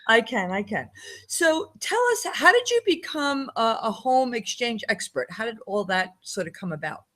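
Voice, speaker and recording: A woman's voice: a little high, big pitch swings, normal speed, a bit bright. A woman hosting an interview, upbeat, asking short questions and giving brief affirmations. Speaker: host.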